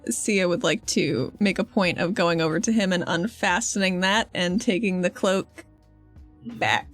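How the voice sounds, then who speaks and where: A woman's voice: lowish voice, unhurried, fairly bright tone, soft background music. A woman playing a tabletop game, chatting casually and playfully with the group. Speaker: player in a tabletop game podcast.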